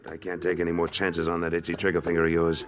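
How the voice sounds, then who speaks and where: A man playing a commanding American cowboy boss in a radio western. His voice is deep, his delivery blunt and authoritative, over the light noise of a vintage recording. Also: monotone, racing, close to an everyday voice. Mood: stern, commanding. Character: tough, commanding cowboy trail boss of a cattle drive. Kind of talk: old-time radio western acting.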